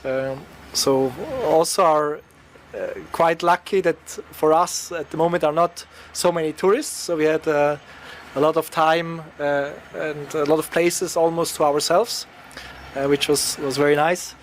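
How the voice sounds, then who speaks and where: A man's voice: on the high side, fairly even intonation, measured pace, a little hiss or hum. A man from Switzerland, a radio interview guest, talking hesitantly with many filler sounds. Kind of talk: interview answers.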